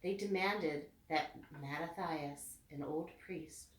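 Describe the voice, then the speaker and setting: A woman reading a storybook aloud with expressive, deliberate phrasing meant for children. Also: low-pitched. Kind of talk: read-aloud storybook narration. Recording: echoey room, distant mic.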